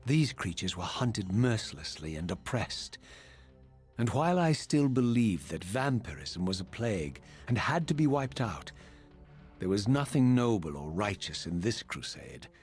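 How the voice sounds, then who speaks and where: A vengeful, tormented wraith in a gothic video game. The voice sits on the low side and speaks with eloquent, archaic formality and grim resolve. Faint music plays underneath. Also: male voice, big pitch swings, a little slow, a little mellow. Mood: brooding, solemn. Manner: formal, archaic, solemn diction. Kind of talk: dramatic scripted video game narration and dialogue.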